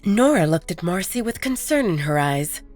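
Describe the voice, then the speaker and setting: A woman's voice: on the low side, sing-song, moderate pace, a little mellow, no room sound, soft background music. A woman narrator reading a scripted romance audio story in the third person.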